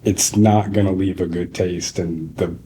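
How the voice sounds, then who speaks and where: A student in his twenties giving measured, reasoned answers in an interview. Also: deep voice, steady tone, dark tone, a little hiss or hum, low-quality recording. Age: 20s. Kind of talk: casual opinion interview.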